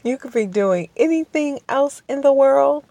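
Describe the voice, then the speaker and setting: Slow, gravelly woman with a strained voice. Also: sits high, lively intonation, warm tone, dry recording. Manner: slow, hesitant, many ums and restarts; polite and apologetic. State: under the weather, voice strained. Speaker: podcast host. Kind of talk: casual solo podcast monologue. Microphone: home podcast microphone.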